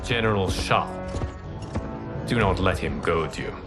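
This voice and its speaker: Wise mentor lord, deepish voice, serene, formal, measured, game cutscene, music behind. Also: male voice. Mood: calm guidance. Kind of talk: video game cutscene acting.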